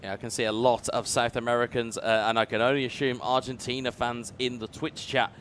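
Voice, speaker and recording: A male esports commentator giving play-by-play commentary on a football video game, building up and booming on big chances. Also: flat delivery, fairly quick, fairly bright tone, slight background noise. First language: English.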